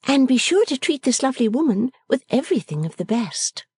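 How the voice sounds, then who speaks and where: A woman's voice: sits high, sing-song, brisk, a little mellow, breathy edge, dry recording, voice pushed up, full character voice. A woman reading the part of a playful, affectionate young woman who teases and orders her father about. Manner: teasing, commanding, merry.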